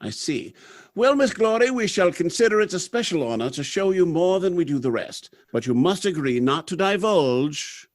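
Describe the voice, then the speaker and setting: A man's voice: sits high, very animated, no echo, studio quality. A man acting a courteous, businesslike factory general manager in a 1930s-style radio drama, speaking formally and politely. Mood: courteous, attentive. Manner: formal, brisk dictation and polite address.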